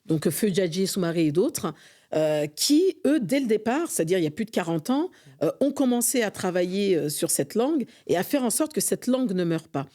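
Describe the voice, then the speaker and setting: Warm-voiced, fervent middle-aged Frenchwoman. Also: medium pitch, very animated, moderate pace. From France. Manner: earnest, fervent, frequent hesitations. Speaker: podcast guest, association vice-president. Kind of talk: conversational interview.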